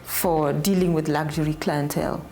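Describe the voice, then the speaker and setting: Brief: female interviewer, slightly low voice, encouraging prompts, faint music in the background. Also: fairly even intonation, normal speed, darkish tone, rough recording. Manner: encouraging, leading questions. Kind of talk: interview questions.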